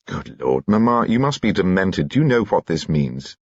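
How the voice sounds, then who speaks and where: A man's voice: deep voice, sing-song, moderate pace, dry recording, dull audio, full character voice. A man voicing a reserved, dry-humored male detective inspector. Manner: dry, exasperated.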